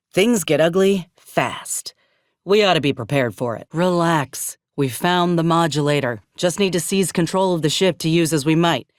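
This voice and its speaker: An actress voicing a frank, loyal frontier soldier in a video game. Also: female voice, low voice, no echo, clean studio sound. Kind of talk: scripted video game character dialogue.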